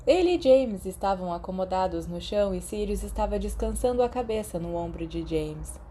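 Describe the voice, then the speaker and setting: A woman's voice: medium pitch, lively intonation, moderate pace, mellow, slight background noise. A woman with a Brazilian accent reading scripted audiobook narration as a fan-fiction narrator. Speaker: podfic narrator.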